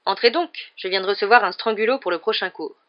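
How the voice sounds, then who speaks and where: A book narrator voicing a calm, thoughtful male professor with a gentle, welcoming manner. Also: mid-range voice, fast talker, dull audio, natural voice. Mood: kindly, calm.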